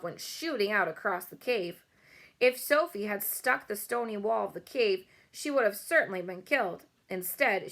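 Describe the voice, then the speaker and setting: A woman's voice: mid-range voice, a bit bright. A woman narrating a children's story as a read-aloud. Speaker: storybook reader.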